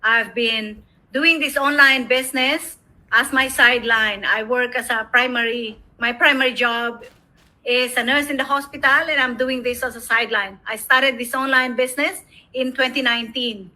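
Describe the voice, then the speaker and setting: High voiced filipino lady on a video call, very bright, steady casual chat. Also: moderate pace, poor audio. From Philippines. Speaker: nurse and online business owner.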